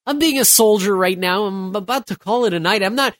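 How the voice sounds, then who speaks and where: A man's voice: sits high, fairly quick, fairly bright tone, dry recording. Stuffed-up man rambling on his podcast. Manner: rambling, loose, with many restarts and fillers. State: stuffed up, getting over a cold. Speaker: podcast host. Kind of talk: solo late-night podcast talk.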